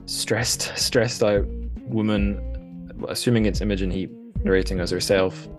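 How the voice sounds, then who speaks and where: Male podcast host, notably deep voice, chatty and informal, feels his way through sentences with self-corrections. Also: fairly even intonation, unhurried, music in the background. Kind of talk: casual interview chat.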